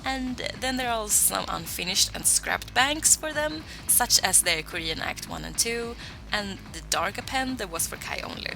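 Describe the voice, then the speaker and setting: A young woman talks casually in a vlog, chatty and self-deprecating. Her mid-pitched voice has a gravelly fry to it. Music plays clearly behind her. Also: lively intonation, normal speed. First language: Swedish. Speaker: voicebank creator answering fan questions.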